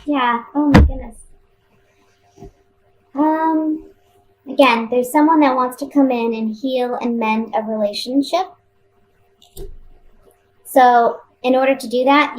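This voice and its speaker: A woman with a somewhat high voice reads tarot for her viewers. She talks casually and off the cuff, pausing and repeating herself as she thinks aloud. A light noise sits behind her voice. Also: poor audio. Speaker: tarot card reader.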